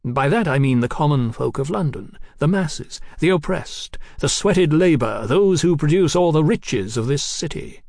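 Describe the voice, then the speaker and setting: A man's voice: a little high, lively intonation, normal speed, hardly any pauses, darkish tone, dry recording, clean studio sound, pitched above the performer's own voice. A man voicing a zealous young revolutionary for an audiobook, haughty and grandiose, declaiming like an orator. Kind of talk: audiobook character acting.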